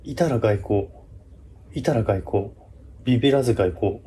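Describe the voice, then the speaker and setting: A man's voice: fairly low pitch, a little slow, a little hiss or hum. A man exploring a haunted place as a video host, reacting in a jittery, frightened way with exclamations and mutters. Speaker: host of a ghost-hunting video series. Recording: loud music under the voice. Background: loud music.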